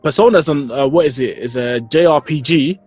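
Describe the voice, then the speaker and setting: A man's voice: a little high, fairly quick, a little mellow, husky, faint music behind. A man with a London accent in casual chat, slangy and given to emphatic repetition. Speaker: podcast panel member.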